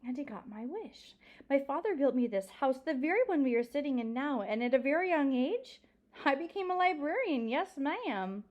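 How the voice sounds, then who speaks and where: A woman's voice: high voice, big pitch swings, moderate pace, highs cut off, pitched above the performer's own voice. A woman voicing an elderly lady librarian in a storybook, proud and dramatic, drawing out key words with folksy emphasis. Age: older.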